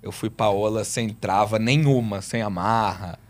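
A man co-hosting a casual interview who asks questions and prompts with quick agreements and follow-ups. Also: fairly low pitch. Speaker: podcast co-host.

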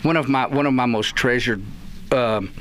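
A man with a Texan accent, a guest on a radio chat show, telling lively stories and acting out the quotes. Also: mid-range voice, moderate pace, a little hiss or hum. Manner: animated storyteller, acts out quotes. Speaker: guest, youth baseball parent. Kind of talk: casual radio chat, storytelling.

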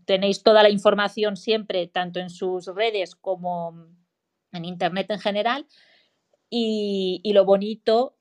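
A woman's voice: mid-range voice, steady tone, a little slow, darkish tone. A woman hosting a live audio chat room, introducing her guest and inviting listeners, with many restarts and repeated words.

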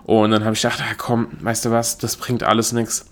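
A man's voice: on the low side, monotone, fairly quick. A young adult man from Germany hosting his own solo podcast, talking in a chatty, self-correcting way and laughing at himself. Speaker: podcast host. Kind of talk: casual solo podcast monologue.

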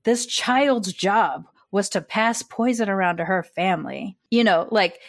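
A woman's voice: mid-range voice, measured pace, no echo, clean studio sound. A woman guest on a podcast, speaking frankly in casual chat, telling stories bluntly with the odd swear word.

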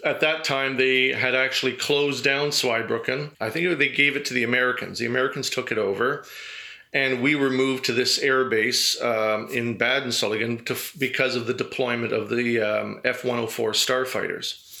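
A middle-aged man who grew up in Germany, relaxed and reminiscing in an interview, telling stories with many fillers and small chuckles. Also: mid-range voice, normal speed, gravelly. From grew up in Germany, Canadian family. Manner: easygoing storyteller with fillers and chuckles. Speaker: interview guest.

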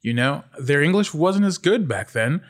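Man as a cheerful, high-voiced, chatty mother. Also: animated, moderate pace, close to an everyday voice. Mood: upbeat. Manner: upbeat, coaxing. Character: cheerful, chatty, affectionate mother of two teenagers. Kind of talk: comedic script table read.

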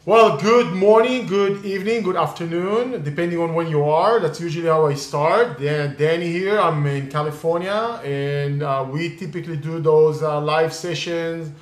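A man's voice: fairly high pitch, normal speed, hardly any pauses, reverberant. A man whose first language is Hebrew hosts a live online session on real estate investing, explaining casually with many fillers and restarts. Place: California.

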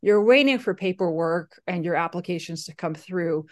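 A woman's voice: on the low side, moderate pace. A woman from Slovakia, Slovak her first language, telling her story casually in an interview with many fillers and restarts. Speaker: guest.

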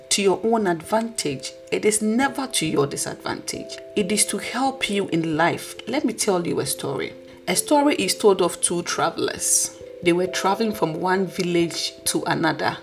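A woman with a husky voice hosting a podcast, delivering an earnest, preachy motivational talk. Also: on the low side. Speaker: podcast host.

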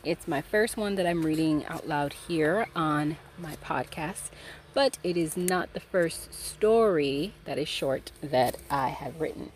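A woman's voice: sits low, takes it slowly, slight background noise. A woman podcast host who writes fiction, chatting casually about her work and then reading her own short story aloud. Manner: casual, relaxed intro, then steady storytelling.

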